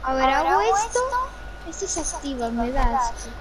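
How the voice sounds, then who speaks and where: Very high-pitched female gamer, noisy recording. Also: expressive, moderate pace. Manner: directing a partner step by step. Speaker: gamer playing co-op. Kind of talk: casual gaming chatter. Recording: clearly noisy home gaming recording.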